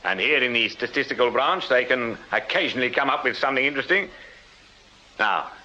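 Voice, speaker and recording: An actor playing a shrewd Victorian detective sergeant with an English accent, dry, clipped and authoritative in a radio drama. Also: male voice, mid-range voice, expressive, fairly quick, bright, forceful tone. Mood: wry, probing. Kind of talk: British period radio drama acting. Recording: slightly dull, hissy radio-drama recording.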